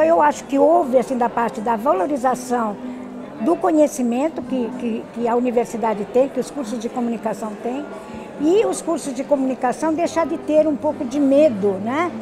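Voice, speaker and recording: A Brazilian woman academic answering interview questions in an explanatory, halting way, often adding tag questions. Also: high-pitched, poor audio. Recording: busy crowd chatter behind. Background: crowd noise.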